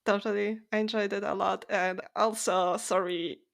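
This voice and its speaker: A woman podcast co-host talks casually about books at a medium pitch. She is earnest and opinionated, phrasing her views informally as in a relaxed discussion. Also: moderate pace, vocal fry. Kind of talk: casual book discussion chat.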